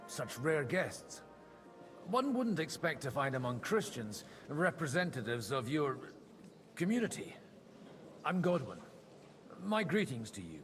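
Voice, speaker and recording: An actor playing a middle-aged medieval priest in a video game, world-weary and wry, with a dry, grumbling manner. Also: male voice, a little high, sing-song, unhurried, long pauses, a bit bright, soft background music. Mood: courteous. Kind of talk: video game dialogue acting.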